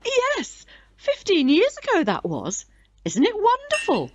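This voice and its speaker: A woman's voice: very high-pitched, wildly swooping pitch, a little slow, dry recording, muffled recording, exaggerated voice. A woman playing a chatty, proud older British club chairwoman in an audio drama, genteel and theatrical in manner.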